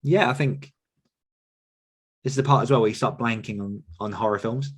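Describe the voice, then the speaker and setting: Guy in his 30s, chill rambly podcast chat, mid pitched, lots of ums and restarts. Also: moderate pace, breathy edge. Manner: relaxed, rambling with fillers and restarts, laughs often. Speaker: podcast host answering listener questions. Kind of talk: casual chat.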